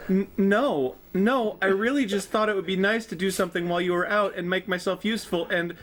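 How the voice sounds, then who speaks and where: A man co-hosting a charity stream, joking around and reading fan fiction aloud in an excitable way. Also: high-pitched. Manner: excitable, jokey, quick. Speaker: stream co-host.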